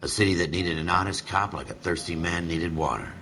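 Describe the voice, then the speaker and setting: Hard-boiled american noir narrator, super low, brooding, world weary, lots of noise behind. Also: male voice, brisk. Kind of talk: scripted film noir narration in a game.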